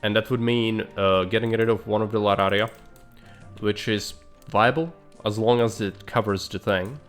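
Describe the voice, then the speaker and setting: A man's voice: on the low side, fairly even intonation, moderate pace, soft background music. A man streaming live, thinking aloud in dry, blunt remarks as he plans a city build. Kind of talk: live gameplay commentary.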